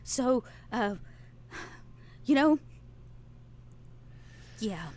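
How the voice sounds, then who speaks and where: A woman's voice: on the high side, expressive, extremely slow, darkish tone, heavily acted. A woman playing a flustered, defensive woman driver in a tabletop game, stammering and blurting her words. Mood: awkward, defensive. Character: Nero, flustered, defensive woman driver of a monster-hunting cell.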